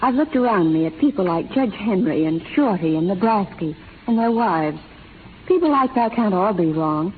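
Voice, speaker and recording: An actress playing a gentle, earnest young schoolteacher with proper, sincere speech in an old radio drama. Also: female voice, mid-range voice, animated, normal speed, natural voice. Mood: timid, earnest. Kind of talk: 1930s radio drama acting. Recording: tinny, noisy 1930s radio recording.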